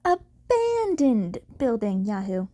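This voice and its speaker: A woman voices a brash female hero mentor in an audiobook story. She is bossy and curt, giving orders and teasing in a slightly high, unhurried voice. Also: sing-song, warm tone. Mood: brisk.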